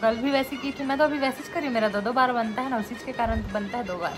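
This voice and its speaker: A woman's voice: a little high, fast. Chatty Chhattisgarhi woman vlogger, music behind. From Chhattisgarh, India. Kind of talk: casual chat and vlogging.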